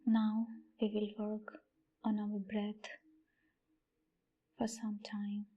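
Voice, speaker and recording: A woman leading a guided meditation in a soft, breathy, near-whispered voice, with gentle phrasing and long pauses. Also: mid-range voice, completely flat delivery, muffled recording. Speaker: meditation guide, channel host.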